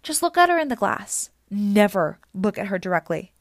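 A woman's voice: mid-range voice, sing-song, moderate pace, no room sound. A determined, brainy young girl, acted by a woman in an audiobook. She is bossy and urgent, with precise wording. The sound is dry and close. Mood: brisk, precise.

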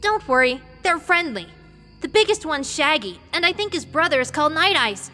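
A plucky young boy adventurer, as a woman narrator puts him on, with a lifted pitch. He talks bluntly and casually and is easily annoyed, in a storybook reading with music behind. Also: expressive, moderate pace, bright, forceful tone, in a large hall. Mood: casual reassurance.

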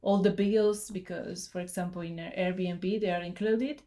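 A woman's voice: on the low side, steady tone, unhurried, mellow. A woman from Italy with an Italian accent, a podcast guest chatting in English, laying things out point by point. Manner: plain, listing things point by point. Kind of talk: casual interview chat.

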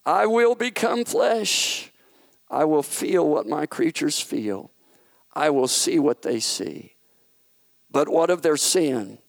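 A man voicing a majestic, loving yet stern male God who speaks in slow, solemn proclamations. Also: a little high, expressive, creaky, no echo, pitched above the performer's own voice, full character voice. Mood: commanding.

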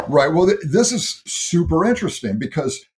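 A man's voice: fairly high pitch, sing-song, moderate pace, a little mellow. A man speaking as an interview guest, explaining big ideas in a thoughtful, earnest way with small restarts. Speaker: guest speaker on history and ancestry. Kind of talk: interview conversation.

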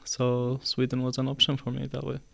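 A man in his twenties from Syria, answering interview questions in a casual, hesitant way with many pauses and fillers. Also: mid-range voice, monotone, creaky, fairly bright tone. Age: 20s. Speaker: podcast guest.

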